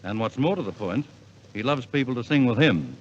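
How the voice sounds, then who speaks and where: A man's voice: mid-range voice, lively intonation, a little fast, breathy edge. A man speaking as a formal, showmanlike compere introducing a 1950s radio variety show. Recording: muffled, hissy 1950s radio recording.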